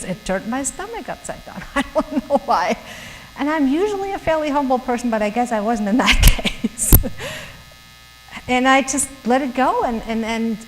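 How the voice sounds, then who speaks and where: A middle-aged woman from Germany with a German accent, giving an earnest, chatty church talk to young professionals and laughing often. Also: on the high side. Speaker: guest speaker. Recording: hall reverb with faint mains hum.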